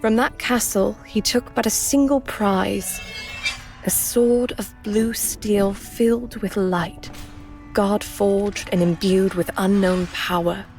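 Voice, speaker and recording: A storyteller of heroic legend in a fantasy audio drama. Her medium-pitched voice moves slowly and lyrically, measured and reverent, with music underneath. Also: steady tone, darkish tone. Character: woman storyteller reciting a hero's legend, reverent.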